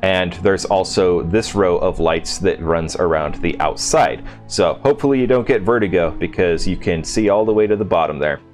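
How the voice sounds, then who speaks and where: Low-voiced man giving a casual game walkthrough. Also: normal speed, few pauses, darkish tone, soft background music. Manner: informal, unscripted, thinking aloud. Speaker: video game settlement-build host. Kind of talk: casual gameplay walkthrough commentary.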